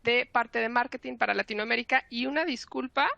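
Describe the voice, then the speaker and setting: A woman from marketing, hoarse from illness, welcoming viewers to a webinar in a friendly, explanatory way with many fillers. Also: fairly high pitch, a little fast, pushed and bright, dry recording, muffled recording. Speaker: marketing presenter. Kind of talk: webinar host introduction.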